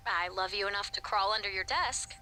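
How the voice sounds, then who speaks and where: An affectionate, teasing young woman in a sci-fi game drama. Her voice is very bright and mid-pitched, intimate as she playfully scolds, with music underneath. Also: brisk, low-quality recording. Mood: teasing, tender. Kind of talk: video game dialogue.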